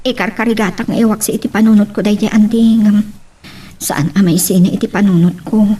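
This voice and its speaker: Woman acting a burdened mother, muted and husky. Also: medium pitch, fairly even intonation, a little fast, warm tone, far from the mic, lightly acted. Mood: troubled. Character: burdened, self-sacrificing mother whose husband is missing. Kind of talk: Ilocano family radio-style drama acting.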